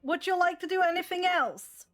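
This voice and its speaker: A woman's voice: very high-pitched, moderate pace, a bit bright, soft background music. A woman narrating and refereeing a tabletop game as game master.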